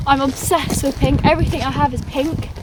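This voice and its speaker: A chatty young woman from England with a high voice talks casually, full of ums and little laughs at herself. She is walking outdoors in a forest, recorded on a phone with loud background noise all around her. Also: steady tone, moderate pace. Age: 20s. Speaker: vlogger. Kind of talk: casual chat while walking.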